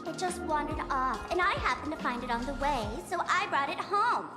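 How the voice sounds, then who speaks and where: A cheeky young trickster girl from a video game, high-pitched and very animated. Her lines are playful and nonchalant, echoing with music behind them. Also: normal speed. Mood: cheeky, teasing. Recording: echoey, reverberant, over music.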